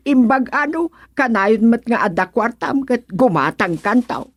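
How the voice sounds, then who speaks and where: A chatty village woman played by an actress in an Ilocano radio comedy. Her voice sits fairly high, teasing and nagging, in a close, dry recording with an Ilocano accent. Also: lively intonation, slow pace, slightly rough, dry recording, exaggerated voice. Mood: teasing, scolding.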